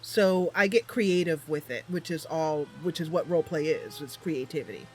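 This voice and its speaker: A woman's voice: sits low, moderate pace, a little mellow, soft background music. A woman hosting a gaming channel, casually commentating on her roleplay, thinking aloud and explaining as she goes.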